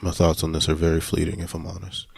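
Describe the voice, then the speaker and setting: A man's voice: deep voice, little pitch movement, fast, creaky, a little mellow, breathy edge. A man co-hosting a casual movie podcast, speaking informally with plenty of swearing. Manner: profane, informal. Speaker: podcast co-host. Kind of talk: casual movie review chat.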